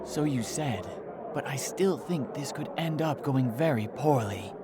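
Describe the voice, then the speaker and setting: An actor playing a worried, reluctant young god in disguise in an audio drama, with a measured, doubtful delivery. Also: male voice, mid-range voice, animated, noisy background, lightly acted. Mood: uneasy, reluctant.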